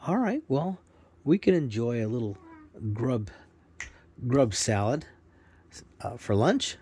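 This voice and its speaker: A man's voice: mid-range voice, very animated, slow pace, warm tone, husky, no echo, heavily acted. A man voicing a polite young boy on a forest adventure in a children's bedtime story, hesitant and marveling.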